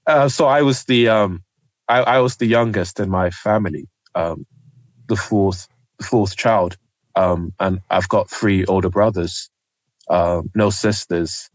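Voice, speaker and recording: A young man from Nigeria, a journalist guest, talking reflectively in an interview with frequent pauses and ums. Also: low-pitched, steady tone, no echo. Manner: hesitant, many ums, soft-spoken.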